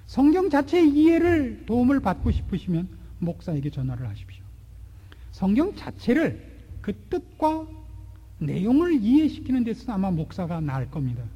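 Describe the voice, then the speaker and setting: A man in his forties preaching a sermon, asking rhetorical questions and swinging between loud and hushed delivery. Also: high voice, big pitch swings, moderate pace, husky. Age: 40s. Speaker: pastor. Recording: long hall reverb.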